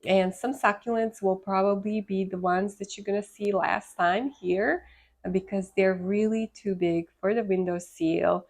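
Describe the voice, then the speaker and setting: A woman's voice: mid-range voice, a little mellow. A congested woman from Serbia with a Serbian accent, chatting casually as the host of a gardening vlog. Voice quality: congested from a sinus infection. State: congested with a sinus infection. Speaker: host of a succulent gardening channel.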